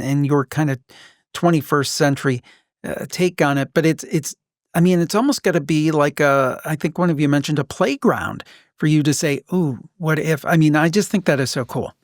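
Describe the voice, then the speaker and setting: A man with a fairly high-pitched voice hosts a casual interview. He sounds enthusiastic and informal, recorded dry with no audible room. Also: dry recording. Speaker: podcast host, interviewer.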